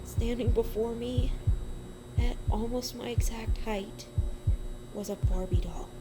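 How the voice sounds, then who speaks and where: A woman's voice: a little high, fairly even intonation, a little slow, very noisy. A woman giving a dramatic first-person reading of a horror story, with a suspenseful, emotive delivery.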